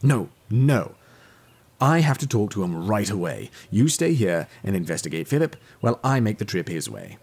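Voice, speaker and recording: An actor playing a brisk, earnest police detective in an audio drama, speaking formally with wordy, precise diction. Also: male voice, lowish voice, big pitch swings, a little mellow, breathy edge, full character voice. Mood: businesslike.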